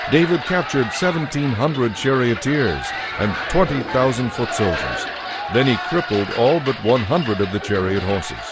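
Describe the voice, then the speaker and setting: A fairly low-voiced man reads scripture as a narrator. His delivery is measured and solemn, in a scripted audiobook style. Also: noisy background.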